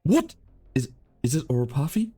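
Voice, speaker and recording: A man playing an anxious, soft-hearted young henchman in an amateur game fan dub, excitable and stammering. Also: medium pitch, moderate pace, slightly rough. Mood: panicked, worried.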